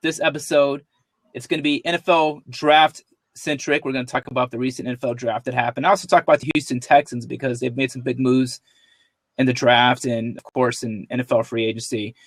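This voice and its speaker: A man's voice: medium pitch, fairly even intonation, brisk, no echo. A man hosting a sports podcast, upbeat and loose, joking with his guest. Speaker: podcast host. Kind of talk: casual podcast chat.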